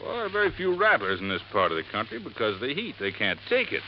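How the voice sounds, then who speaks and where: A man's voice: medium pitch, huge pitch swings, fast. An actor playing a folksy resort handyman in a 1950s radio drama, talking in a rambling, plainspoken drawl. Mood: earnest, folksy. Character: folksy middle-aged male desert resort handyman. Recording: muffled old radio recording with faint hiss.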